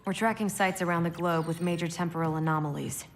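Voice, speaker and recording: A woman's voice: fairly low pitch, fairly even intonation, fast, a little hiss or hum, close to an everyday voice. A woman playing a no-nonsense female military officer in a video game, businesslike and matter-of-fact. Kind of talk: cinematic video game acting. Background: faint music.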